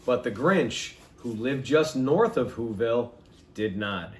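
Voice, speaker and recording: A man reading a children's storybook aloud in an expressive, emphatic, theatrical manner. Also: medium pitch, slow pace.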